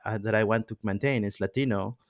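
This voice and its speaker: A man's voice: on the low side, brisk, dry recording, studio quality. A man in his thirties from Peru, a native Spanish speaker, hosting a podcast in casual, reflective talk full of fillers and restarts. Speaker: podcast host. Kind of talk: casual conversation.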